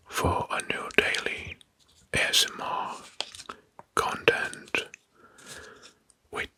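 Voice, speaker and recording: Male ASMR narrator: very slow, breathy whisper, deliberate delivery of history facts. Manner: slow, deliberate, often repeating names and phrases softly.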